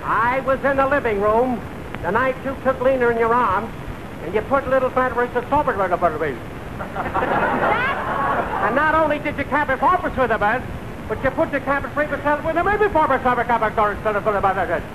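An indignant cousin blusters in a high male voice, slipping earnestly into nonsense double-talk. The style is 1940s radio comedy, on a noisy vintage recording. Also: lively intonation, normal speed. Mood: indignant, blustering. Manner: earnest, blustering gibberish delivery.

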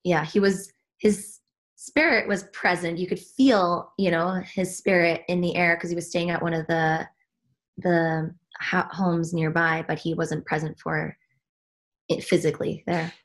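Swiss-raised woman on a podcast, kinda low voice, earnest, lots of restarts. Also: fairly even intonation, unhurried. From Switzerland. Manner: earnest, searching for words, frequent restarts. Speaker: guest, spiritual teacher. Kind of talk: reflective podcast conversation.

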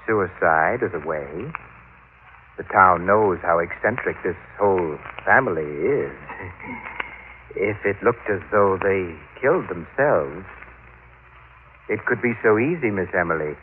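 Deep-voiced, servile scheming butler. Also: male voice, animated, unhurried, pauses often. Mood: insinuating, scheming. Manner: deferential, insinuating. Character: servile, scheming butler, soft-spoken and coldly calculating. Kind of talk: old-time radio drama acting. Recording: tinny, noisy old radio recording.

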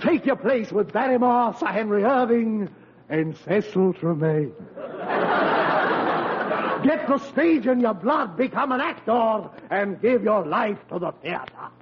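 A man's voice: high-pitched, expressive, measured pace. An actor playing a vain, womanizing old-school thespian in 1940s radio comedy style, plummy, pompous and declamatory. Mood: grandly declaiming. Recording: muffled, noisy old radio recording.

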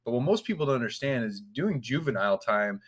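A man's voice: mid-range voice, sing-song, fairly quick, breathy edge. A man interviewing a guest, summarizing his story and asking leading questions. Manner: leading questions, frequent backchannel "yeah". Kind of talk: casual interview.

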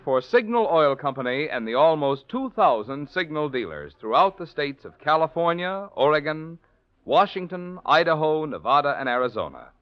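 1940s radio announcer man with sweeping intonation. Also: a little high. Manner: polished, formal. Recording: muffled 1940s radio recording with music. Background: faint music.

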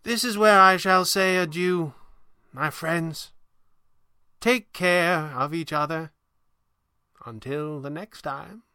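Storybook elderly sorcerer, male narrator performing: raised pitch, crisp, courtly showman, gently amused. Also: dry recording, exaggerated voice. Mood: fond. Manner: courtly, theatrical, drawn-out. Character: gentle, amused old stage-magician sorcerer, courtly and theatrical.

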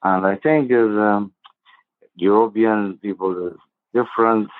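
A man's voice: lowish voice, steady tone, a little slow, no room sound. A Palestinian man with an Arabic accent, a native Arabic speaker, talking hesitantly in simple English as an interview guest and searching for words. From east of Jerusalem, Judean desert. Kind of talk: halting personal account in conversation. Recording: muffled narrow-band phone-like line.

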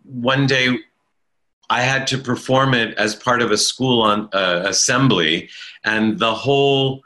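A man being interviewed, recalling his past in a thoughtful, halting way with frequent restarts and a self-deprecating humor. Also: mid-range voice, bright, forceful tone. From Italian-speaking family in America. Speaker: interview guest, stage performer. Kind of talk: reflective conversational storytelling.

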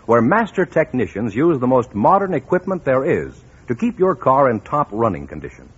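An American man reading a scripted 1950s radio advertisement as a commercial announcer, with a husky voice. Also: medium pitch. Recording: dull vintage radio recording.

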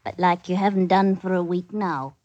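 An actress playing a coy, teasing young housemaid in an old-time radio drama. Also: female voice, on the low side, darkish tone, close to an everyday voice. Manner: coy, teasing, drawn-out phrases. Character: saucy, teasing young English country housemaid. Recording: muffled vintage radio broadcast, dry.

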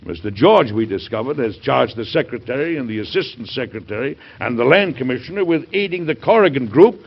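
Gravelly, formal American president in old radio drama. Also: male voice, mid-range voice, expressive, a little fast. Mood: indignant, stern. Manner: formal, emphatic, oratorical. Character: William Taft, indignant, burdened middle-aged US president. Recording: muffled vintage radio broadcast.

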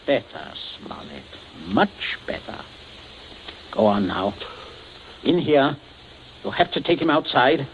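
A man's voice: medium pitch, sing-song, slow, pauses often. An actor playing a genteel, middle-aged father in a 1940s radio drama, suave and commanding, coldly menacing under false cheer. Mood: cold menace. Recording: muffled, noisy 1940s radio recording.

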